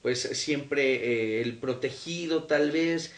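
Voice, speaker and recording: A man's voice: medium pitch, slow pace. A man with a Mexican accent interviewing a guest in a formal, polite conversational way, recorded from a distance in an echoey room. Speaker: television interviewer. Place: interviewee's home, indoors.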